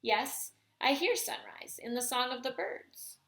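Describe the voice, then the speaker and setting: Storybook young boy played by a woman: eager, expressive, earnest, with a forceful bright edge. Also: on the high side, measured pace, echoey room, full character voice. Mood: wondering. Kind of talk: storybook read aloud.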